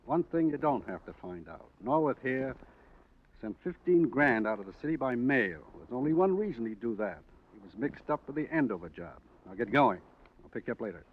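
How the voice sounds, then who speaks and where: An actor playing a tough, suspicious police lieutenant in a vintage radio drama, blunt, clipped and commanding. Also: male voice, medium pitch, very animated, fairly quick. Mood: stern, threatening. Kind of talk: 1940s-50s radio drama acting. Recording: muffled vintage radio recording, faint hiss.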